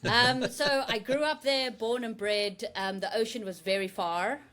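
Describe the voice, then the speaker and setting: A woman's voice: a little high, steady tone, measured pace, a bit bright. A South African woman, a marine scientist guest, telling her story in a candid, self-deprecating, chatty way. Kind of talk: casual interview storytelling.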